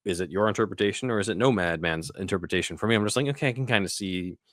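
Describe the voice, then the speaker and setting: A man's voice: deep voice, racing, a bit bright, dry recording, studio quality. A man hosting a podcast in a casual, conversational style. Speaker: podcast host.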